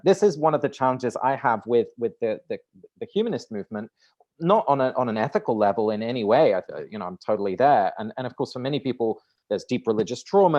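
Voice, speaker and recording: A man's voice: medium pitch, a little mellow, highs cut off. A man in his thirties, a native Dutch speaker, talking through ideas as an interview guest, often restarting and repeating words. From Netherlands. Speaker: guest author. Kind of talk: conversational interview.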